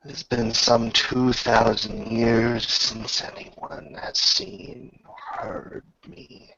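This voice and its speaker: A man voicing an ancient, fanged undead warrior lord who speaks in slow, formal, archaic phrases with dramatic pauses, in a tabletop game. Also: mid-range voice, level tone, creaky, sharp tone, a little hiss or hum, full character voice. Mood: brooding menace. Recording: dull lo-fi recording.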